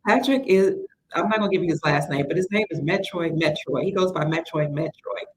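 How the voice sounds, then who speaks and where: Chatty woman host with a lowish voice. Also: a little fast, rough recording. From Cleveland, Ohio. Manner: chatty, excitable, addresses viewers as sister. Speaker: livestream host. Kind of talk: casual livestream talk, reading viewer comments.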